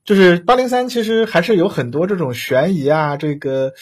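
A male host in a casual live talk. His voice sits a little high and has a rough, husky grain. He feels his way through long questions, hesitating and circling back as he goes. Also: normal speed. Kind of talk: casual live discussion, interviewing guests. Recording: live audio broadcast.

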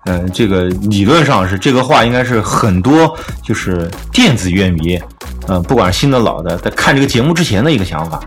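A man's voice: on the low side, big pitch swings, fairly quick. A man hosting a casual music podcast, talking through his opinions in an informal discussion.

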